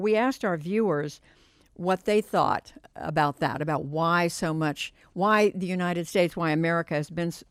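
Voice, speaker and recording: A man hosting a discussion, putting questions to guests in short, halting, measured phrases. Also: on the high side, smooth, dry recording, studio quality. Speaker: host, moderator. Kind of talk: interview questioning.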